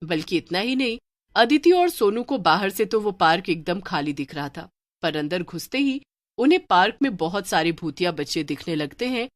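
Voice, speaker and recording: A woman's voice: on the low side, sing-song, moderate pace, no echo. A woman narrating a scripted cartoon horror story as a storyteller.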